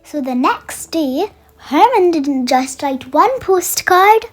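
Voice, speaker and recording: A storyteller reading a children's bedtime picture book aloud in an expressive way, made for young listeners. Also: extremely high pitch, takes it slowly. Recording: music under the voice. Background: music.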